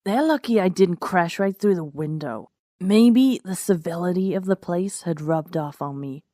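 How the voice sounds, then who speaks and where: Low-voiced woman narrating a story, dry recording. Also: moderate pace, warm tone, no echo. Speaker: narrator and host of a fan fiction reading series. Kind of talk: scripted first-person story narration.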